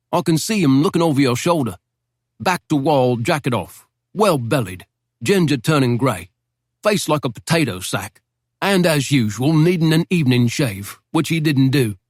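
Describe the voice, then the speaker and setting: A man's voice: mid-range voice, lively intonation, normal speed, no room sound, natural voice. A man voicing a laconic American army major from Arkansas, speaking in short, dry lines. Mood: terse, dry. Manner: terse, dry.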